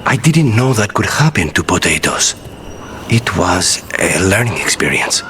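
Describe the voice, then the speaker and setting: An actor playing a weary, demon-possessed assassin in a video game, dry and polite. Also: male voice, low-pitched, big pitch swings, measured pace, a little hiss or hum, exaggerated voice. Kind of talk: game cutscene acting. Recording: loud game music underneath.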